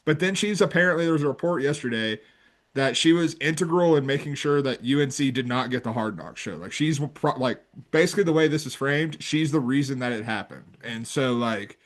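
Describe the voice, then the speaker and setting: A man's voice: medium pitch, brisk. A man co-hosting a sports podcast, giving casual commentary in a discursive, conversational way with plenty of qualifying. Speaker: podcast co-host.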